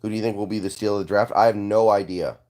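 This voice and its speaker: A young man hosting a casual live stream, congested and hoarse, answering viewer comments with an offhand manner and frequent throat clearing. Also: fairly low pitch, steady tone, fast talker, a little mellow.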